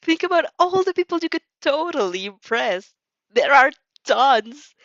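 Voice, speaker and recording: Lady acting a high chirpy teen anime girl, teasing and pushy, kinda muffled dry recording. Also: big pitch swings, unhurried, a bit bright, no echo, full character voice. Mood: teasing, sly. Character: bubbly, pushy, mischievous teenage anime girl. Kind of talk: anime fan fiction dramatic reading.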